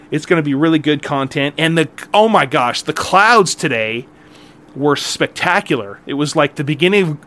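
A man's voice: medium pitch, a bit bright. A middle-aged man hosting a golf podcast alone, chatting casually in a rambling, jokey, self-deprecating way. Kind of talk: casual solo podcast chat.

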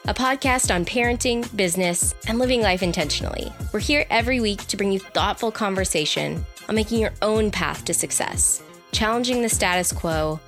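A woman delivers a scripted podcast intro as the announcer. Her voice sits at a medium pitch, with music playing behind her. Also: fairly bright tone.

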